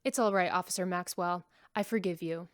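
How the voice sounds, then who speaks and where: A woman's voice: on the low side, steady tone, a little fast, smooth, no room sound, studio quality, lightly acted. A woman playing a defensive, smug suspect who feigns innocence in a sci-fi radio drama, with a defiant manner.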